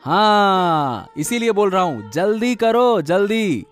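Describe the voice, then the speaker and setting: An actor playing an impatient, nagging young husband in an animated moral story. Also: male voice, high voice, slow, soft background music, exaggerated voice.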